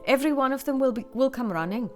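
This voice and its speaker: A woman's voice: high voice, expressive, fast, faint music behind, voice pushed up. A woman voicing a flustered village woman with a Welsh accent who stammers and snaps back. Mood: angry.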